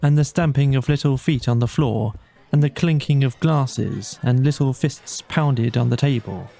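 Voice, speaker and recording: A man narrating a bedtime storybook in a measured, dramatic storytelling manner. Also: medium pitch, gravelly, warm tone. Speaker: audiobook narrator.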